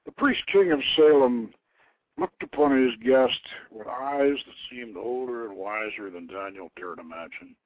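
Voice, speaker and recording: A man's voice: mid-range voice, unhurried. Male storyteller on a phone-like radio line. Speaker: host reading his own novelette. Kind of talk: scripted story narration.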